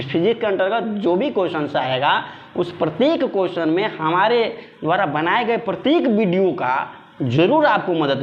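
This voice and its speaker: Guy teaching an exam class online, kinda high voice, emphatic, repeats stuff, muffled lo-fi mic. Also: a little hiss or hum. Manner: emphatic, repeats phrases for stress. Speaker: exam coaching science teacher. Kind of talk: explanatory online lesson addressed to students.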